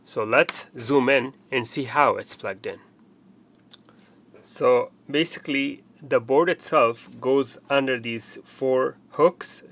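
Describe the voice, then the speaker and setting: A man's voice: mid-range voice, fairly bright tone. A man presenting a hands-on installation tutorial, explaining step by step and often repeating himself with fillers.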